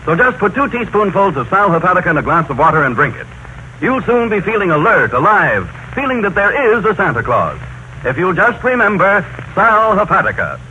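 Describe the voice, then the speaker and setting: Male 1930s radio ad announcer, slightly high forceful voice, persuasive, careful phrasing, emphatic, scratchy old recording. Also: very animated, a little fast, lo-fi sound. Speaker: radio announcer reading a sponsor advert. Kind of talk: 1930s scripted radio advertising.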